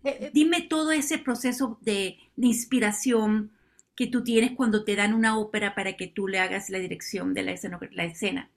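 A woman's voice: medium pitch, fairly even intonation, moderate pace, gravelly. A woman hosting a podcast who introduces her guest with enthusiasm and asks open questions. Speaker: podcast host, interviewer. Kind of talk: podcast interview.

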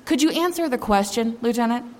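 Woman acting a pushy lady reporter, highish voice, reverby room, direct, audio drama. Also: animated, brisk, darkish tone, close to an everyday voice. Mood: insistent. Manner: direct, insistent. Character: persistent, forthright female newspaper reporter. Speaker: newspaper reporter at press conference. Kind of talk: full-cast audio drama.

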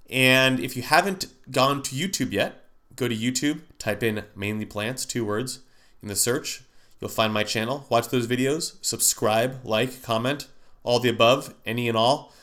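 A man's voice: on the low side, a bit bright. A man hosting a podcast, talking casually with a nasal, congested voice from a cold, rambling with many fillers and restarts. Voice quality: nasal, stuffy from a sinus cold. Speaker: podcast host. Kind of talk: casual solo podcast monologue.

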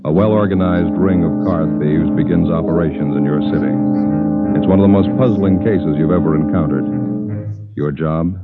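An actor with an American accent playing a terse, matter-of-fact police detective in a 1950s radio drama, deadpan and businesslike, on a muffled old recording. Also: male voice, sits low, moderate pace, over loud music. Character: terse, matter-of-fact male police detective sergeant narrating his case.

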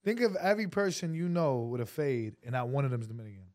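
A man's voice: mid-range voice, big pitch swings, quick pace, darkish tone. A man from New York co-hosting a podcast, bantering and arguing in casual slang. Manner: laid-back, argumentative banter with slang. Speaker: podcast co-host. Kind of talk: casual chat.

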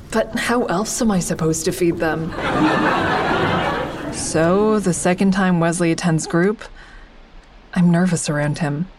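A woman's voice: on the low side, darkish tone, a little hiss or hum, close to an everyday voice. A woman playing an awkward, smitten survivor who narrates her own story in an audio drama, confiding and self-deprecating. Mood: confiding, nervous.

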